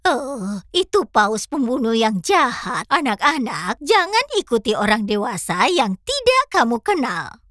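A woman's voice: a little high, measured pace, dry recording, clean studio sound. A woman voicing a perky, caring young fox who hosts a children's cartoon and talks to the viewers. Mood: gently warning. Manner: animated, clear, addressing children.

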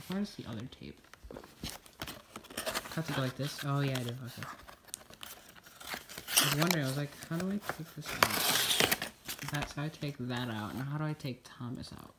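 A man's voice: on the high side, steady tone, a little slow, pauses often, very noisy. A young man with a stuffy nose chatting casually while unboxing a toy at home, rambling with lots of fillers. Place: indoors at a table in a home room.

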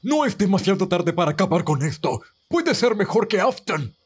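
A man with a Latin American accent playing an earnest, frightened but defiant captive in an animated fandub. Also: sits high, moderate pace, husky, heavily acted. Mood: pleading. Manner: earnest, dramatic. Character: frightened, defiant man pleading with his captor. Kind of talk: amateur animated fandub acting.

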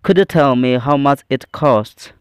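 A man teaching a scripted English lesson, reading out phrases in a deliberate, phrase-by-phrase way. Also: medium pitch, smooth, dry recording, studio quality.

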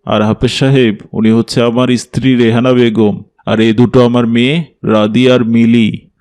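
A man plays a proud, devout cartoon father of middle age. His voice is mid-pitched and keeps to a level, serious line, as befits a strict mosque elder. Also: flat delivery, moderate pace, darkish tone, smooth, lightly acted. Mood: welcoming, formal. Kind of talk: cartoon drama dialogue.

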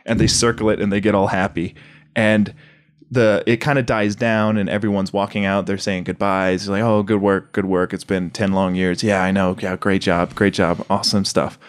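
A man chatting casually as a podcast host, rambling through comedy sketch pitches and acting out bits as he explains. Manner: rambling, acts out lines as he explains.

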